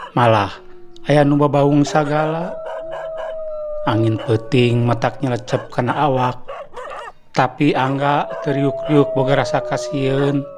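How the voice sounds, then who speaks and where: A man with a Sundanese accent narrating a spooky radio tale in a dramatic, rising storytelling manner. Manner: dramatic, rising pitch on suspense.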